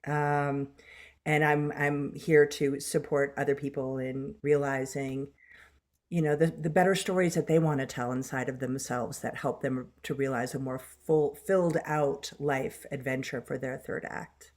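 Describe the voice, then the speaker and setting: Older woman with a flat, even voice. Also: rumbling low. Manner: measured, heartfelt, even-toned. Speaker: actress and workshop leader. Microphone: video call.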